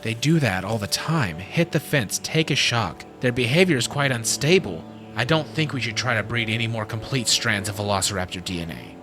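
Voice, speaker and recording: A man's voice: mid-range voice, lively intonation, fairly quick, over music, close to an everyday voice. A man playing a grave, worried scientist in an audio drama, speaking in a serious, matter-of-fact way. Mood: grave concern. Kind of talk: fan audio drama acting. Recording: clear background noise.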